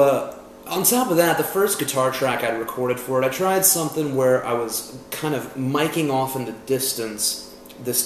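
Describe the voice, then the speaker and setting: A man's voice: mid-range voice, echoey room, a little hiss or hum, poor audio. A young man vlogging about recording music at home, chatty and self-deprecating, with joking profane asides. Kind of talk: casual chat. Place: home room.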